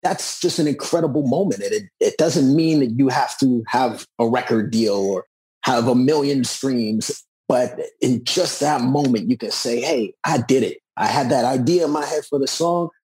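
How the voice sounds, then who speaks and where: Husky man in his forties. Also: mid-range voice, moderate pace, darkish tone, poor audio. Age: 40s. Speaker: musician and author being interviewed. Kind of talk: conversational interview answers.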